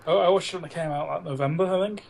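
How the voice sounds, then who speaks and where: A man's voice: a little high, racing, vocal fry, a little mellow. A man co-hosting a podcast, chatting casually and bluntly about films, with frequent swearing. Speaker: podcast co-host. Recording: noisy amateur podcast recording.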